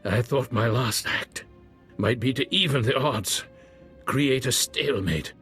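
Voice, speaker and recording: A man playing a wounded defecting military general, weary and fatalistic, with a husky, strained voice in a science fiction game. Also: mid-range voice, measured pace, a bit bright, faint music behind, close to an everyday voice. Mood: grim resignation.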